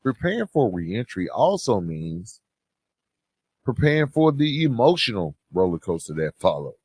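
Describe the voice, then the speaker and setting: Male podcast host, lowish pitch, animated intonation, earnest and reflective advice-giving delivery. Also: normal speed, slightly rough. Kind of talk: reflective advice talk to listeners.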